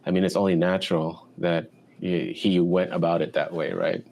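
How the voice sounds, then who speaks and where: Deep-voiced man, laid-back guest. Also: normal speed, gravelly, a little hiss or hum. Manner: relaxed, with many fillers and restarts. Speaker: music producer guest. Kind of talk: casual interview conversation.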